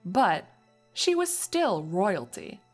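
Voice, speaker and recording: A woman's voice: mid-range voice, big pitch swings, takes it slowly, faint music behind. A woman narrating a scripted story as its narrator, reading in prose between characters' dialogue.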